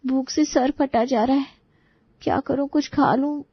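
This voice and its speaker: A meek, wronged young wife voiced by a woman in a story drama, earnest and plaintive. Her voice is very high, and it sits in a dry, slightly muffled recording. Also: steady tone, unhurried, no echo. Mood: miserable. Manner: pleading, plaintive. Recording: dull lo-fi recording.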